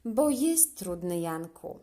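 Woman reading as a concerned schoolteacher, expressive pitch. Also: slow pace. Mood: apologetic.

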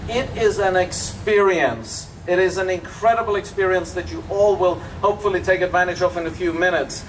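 A man's voice: high voice, a little fast. Enthusiastic Austrian-accented man at an outdoor dedication. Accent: Austrian German. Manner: enthusiastic, emphatic, with frequent fillers. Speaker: resort executive giving a dedication speech. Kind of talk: public dedication speech. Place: outdoors at a public event. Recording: distant outdoor recording with faint background noise.

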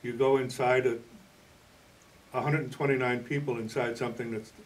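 An older man in his sixties speaks as an interview guest, explaining in a measured, halting way. His voice sits at an ordinary male pitch, picked up a little away from the microphone. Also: flat delivery, distant mic, low-quality recording. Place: indoor TV studio, green screen.

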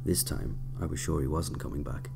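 A man's voice: extremely low pitch, steady tone, a little fast, creaky. A man narrating a scripted first-person story in an audio drama. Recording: music under the voice. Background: music.

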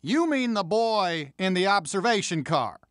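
A man's voice: sits high, very animated, a little slow, fairly bright tone, no echo, clean studio sound, exaggerated voice. An actor playing a middle-aged male train conductor in a video game, formal, courteous and authoritative. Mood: brisk, courteous. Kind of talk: animated video game acting.

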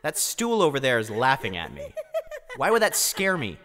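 A man's voice: medium pitch, huge pitch swings, normal speed, a crowd in the background. A man voicing a small, impatient, sarcastic fire-lizard monster in a cartoon parody, blunt and exasperated. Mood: unimpressed, sarcastic. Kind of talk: animated cartoon parody acting. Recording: long reverb, music underneath.

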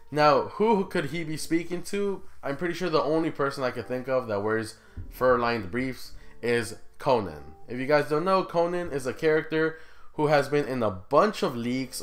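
A man's voice: mid-range voice, a bit bright. Man chatting casually over background music. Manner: informal, conversational, rhetorical questions to viewers. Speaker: gaming YouTuber, host. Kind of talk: casual video commentary to viewers.

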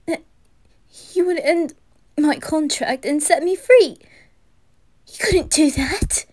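A woman plays a fragile young master in an anime audio drama. The boy's voice is pitched high, pleading and faltering with emotion. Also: dark tone, heavily acted. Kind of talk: anime fan audio roleplay.

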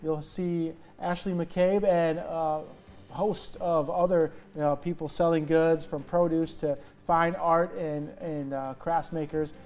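Higher-voiced American male news anchor. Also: steady tone, slow pace, darkish tone, faint music behind. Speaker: TV news anchor interviewing a guest. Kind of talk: morning news interview, conversational. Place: TV news studio.

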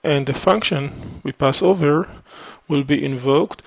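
A man talks through a code sample at a very unhurried pace. His voice is gravelly and fairly high for a man. The recording is dry and close, with methodical, filler-laden explaining. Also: no room sound. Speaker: programming instructor. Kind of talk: screencast code walkthrough. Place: at a computer, voice over screen recording.

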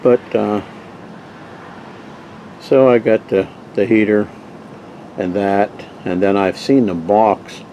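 Guy with a real low mellow voice, rambling on easy about his yard-sale camping haul, lots of uh's. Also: takes it slowly, long pauses, highs cut off, slight background noise. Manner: rambling, relaxed storytelling with frequent uh's and restarts. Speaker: vintage camping gear collector showing finds. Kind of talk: casual show-and-tell narration.

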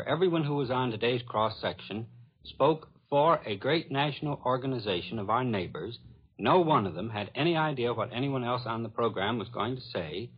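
An American man hosts a vintage public-affairs radio show. His voice is mid-pitched and rough-edged, and he sums things up earnestly with firm emphasis. The old broadcast recording is dull and lo-fi. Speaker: radio program host. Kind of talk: 1940s radio public-affairs commentary, extemporaneous summing up.

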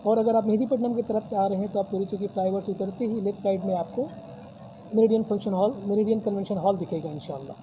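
Man, press conference, very dark tone, formal promotional talk, faint hiss. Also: sits high, fast talker, poor audio. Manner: formal, promotional, frequent religious phrases. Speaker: convention hall representative. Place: decorated hall at a press table. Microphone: many news mics on a table.

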